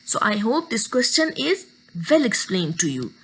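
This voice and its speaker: A woman teaches a medical lecture, walking through each point step by step. Her voice sits high and moves a lot, rising and falling through the sentences. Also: normal speed, slightly rough, poor audio. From Pakistan. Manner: steady explaining, step by step.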